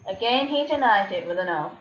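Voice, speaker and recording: A woman's voice: mid-range voice, animated, fairly quick. A woman narrating a dramatised scripture reading as a scripted narrator. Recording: echoey room, dull sound, slight noise.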